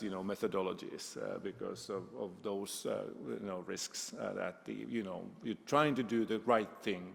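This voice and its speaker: Finnish guy on a conference panel, low gravelly voice, lots of uh's, echoey hall. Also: a bit bright. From Finland. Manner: halting, many "uh" fillers, searches for words.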